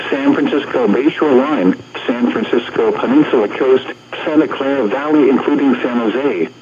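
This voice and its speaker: Dull lo-fi radio weather announcer, a man. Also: medium pitch. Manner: formal, measured, synthetic-sounding delivery. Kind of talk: scripted automated weather bulletin readout. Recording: dull lo-fi radio broadcast with static noise.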